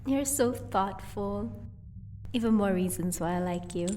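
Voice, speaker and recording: A woman playing a gentle, teasing vampire wife in an audio roleplay. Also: mid-range voice, a little slow, far from the mic, a little hiss or hum, lightly acted. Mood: pleased, affectionate. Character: sweet, playful female vampire, doting wife.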